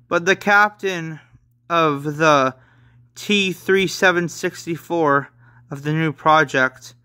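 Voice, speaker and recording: A man's voice: on the high side, slow pace, sharp tone, smooth, no echo, studio quality. A man voicing a fourteen-year-old boy officer in a manga story, familiar and candid. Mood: teasing, grumbling.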